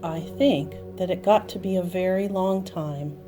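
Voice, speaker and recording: Woman, children's storyteller, expressive delivery, unhurried pace, music behind. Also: lowish voice. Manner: expressive, dramatic emphasis with repeated phrases and gasps. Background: music and sound effects.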